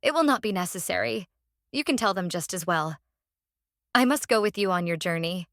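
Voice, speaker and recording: Lady doing a devout young wife, earnest n intense, mid pitched, really dry audiobook recording. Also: expressive, normal speed, a bit bright, dry recording. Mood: quietly determined. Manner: solemn, intense, imploring. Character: devout, resolute young wife, tender and pleading. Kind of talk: dramatised audiobook acting.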